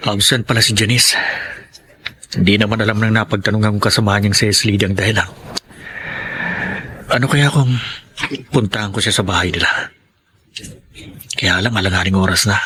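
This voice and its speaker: A man acting a headstrong, hardworking adult son, an engineer, in a radio drama, with a husky voice. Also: fairly low pitch, steady tone, brisk, pauses often, sharp tone. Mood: pondering.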